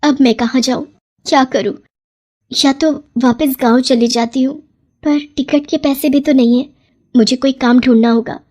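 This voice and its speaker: A woman's voice: sits high, fairly even intonation, normal speed, mellow, pitched above the performer's own voice. A woman voicing a poor, humble young village mother in a cartoon story, polite and pleading. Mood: distressed, pleading.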